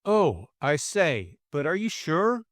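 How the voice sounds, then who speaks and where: Man playing a high-pitched, fussing young Englishman. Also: very animated, takes it slowly, no room sound, studio quality. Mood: anxious. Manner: gushing, fatuous, solicitous. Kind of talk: audiobook character voice.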